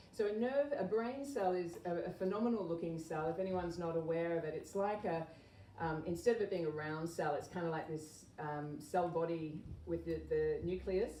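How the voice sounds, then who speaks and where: A woman's voice: on the low side, mellow. A woman speaking as a health educator, giving an informal public talk in an earnest, conversational, explanatory way. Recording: echoey room, distant mic, background noise.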